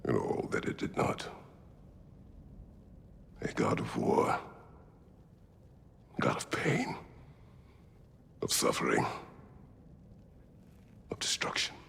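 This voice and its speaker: A stern, aging god of war, speaks in a cavernous hall with long reverb. His voice is extremely gravelly and slow, solemn and remorseful, with weighty pauses, as in a dramatic game cutscene. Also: wildly swooping pitch, fairly bright tone, slight background noise, heavily acted. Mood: solemn, reflective. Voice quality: gravelly, deep, breathy.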